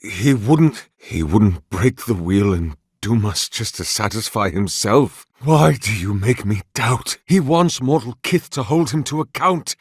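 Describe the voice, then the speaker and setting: An actor playing an ancient, weary, devout priest in a video game, speaking formally and eloquently with solemn dignity. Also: male voice, fairly low pitch, lively intonation, a little slow, hardly any pauses, husky, no echo, studio quality. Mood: anguished, doubting. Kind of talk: dramatic video game dialogue.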